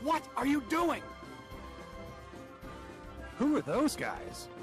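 An actor playing a friendly, take-charge toy cowboy in a video game, folksy and commanding. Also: male voice, very high-pitched, huge pitch swings, very slow, over music, full character voice.

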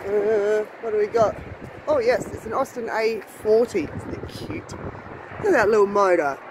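A woman's voice: medium pitch, normal speed. Chatty woman in wind noise. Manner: chatty, admiring, musing aloud with rhetorical questions. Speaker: vlogger. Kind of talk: casual walk-along vlog commentary. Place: outdoor car wrecking yard. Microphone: handheld phone held while walking. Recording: windy, noisy outdoor phone recording.

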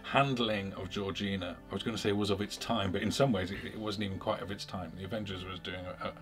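A man's voice: low voice, fairly even intonation, fast. A man in his forties, a writer, speaking casually in an interview. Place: studio room, indoors. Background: loud music.

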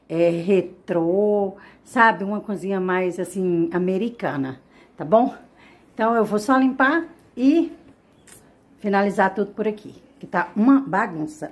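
A chatty middle-aged woman from Brazil talks straight to her viewers as she works, her voice at an ordinary middle pitch. She keeps checking in with little tag questions. She is recorded indoors in a small home kitchen by a wide camera set in the corner. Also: darkish tone. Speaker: home vlogger. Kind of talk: casual chat to camera. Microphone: wide camera mounted in kitchen corner.

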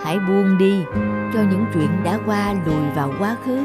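A woman's voice: medium pitch, moderate pace, loud music behind the voice, close to an everyday voice. A woman playing a wise, tender mother in a cartoon, talking in a soothing, earnest way. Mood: tender, consoling. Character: wise, tender mother consoling her grown daughter. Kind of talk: cartoon voice acting.

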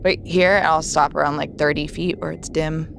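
A woman chatting casually as a tabletop game player, thinking aloud in halting bursts. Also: low-pitched, steady tone, creaky, a bit bright, a little hiss or hum.

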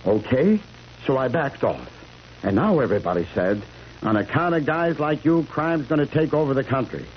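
A man's voice: mid-range voice, lively intonation, normal speed, lightly acted. An actor playing a defensive, exasperated ordinary man in a 1970s radio drama, blunt and argumentative, on a muffled, hissy recording. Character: defensive, exasperated ordinary guy quarrelling with a woman.

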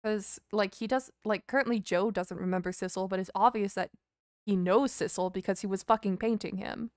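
A woman's voice: mid-range voice, steady tone, a little fast, darkish tone, no room sound. Female game streamer, loose hesitant chatter, casual swearing, dry close sound. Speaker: live streamer. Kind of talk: casual chat, game playthrough commentary. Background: game music.

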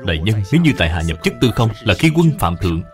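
A man's voice: low voice, big pitch swings, fast, soft background music. A man voicing an upright, earnest younger brother, an official in a cartoon who formally blames himself. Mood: solemn, self-reproaching. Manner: earnest, formal, self-reproaching.

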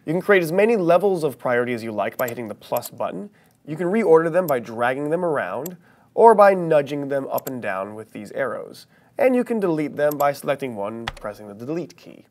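An American man in his thirties presenting a scripted but conversational software tutorial in an animated, playful way. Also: on the high side, a little fast. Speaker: software training instructor.

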